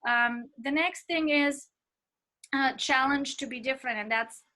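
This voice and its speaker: Middle-aged woman with an accent, ministry teacher; bright, a little high, earnest and anecdotal. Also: measured pace. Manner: speaks with an accent; earnest, personal anecdotes, frequent fillers. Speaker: ministry instructor. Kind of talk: recorded online class lecture.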